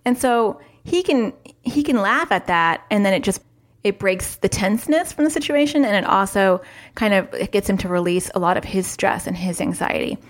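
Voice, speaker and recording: A woman hosting a solo podcast, talking in a chatty, upbeat way with lively jumps in pitch. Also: normal speed. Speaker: podcast host, parent.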